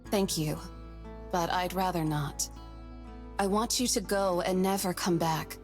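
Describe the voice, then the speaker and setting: A woman playing a vengeful, wounded young sorceress in a fairy-tale video game, with a theatrical, measured delivery. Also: lowish voice, darkish tone, faint music behind, close to an everyday voice. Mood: cool, guarded. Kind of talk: video game cutscene acting.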